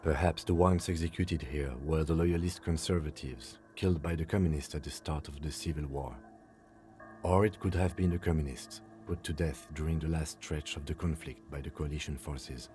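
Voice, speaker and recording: An actor playing a reserved police lieutenant in a video game, reasoning calmly and methodically. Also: male voice, rumbling low, moderate pace.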